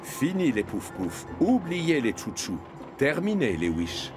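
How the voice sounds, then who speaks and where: A man's voice: mid-range voice, huge pitch swings, slow, music in the background. A man narrating a children's cartoon as a scripted storyteller.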